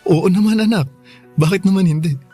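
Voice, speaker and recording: A loving Filipino father in a radio drama, with a soft, husky voice. He speaks tenderly and apologetically, pleading for understanding, over faint music. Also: high-pitched, brisk. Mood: tender, regretful.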